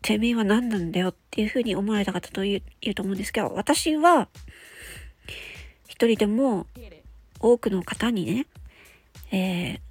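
A woman's voice: mid-range voice, normal speed, pauses often, a little mellow, breathy edge. A woman hosting an audio show, explaining things step by step in a casual, polite way.

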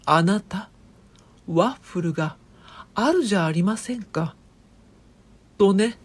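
A woman playing an eccentric, excitable professor in a comic audio drama, with pompous, theatrical, old-fashioned formal speech. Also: medium pitch, slow, breathy edge. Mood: solemn explaining. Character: eccentric, excitable professor obsessed with a phantom bird. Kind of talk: comedic audio drama acting.

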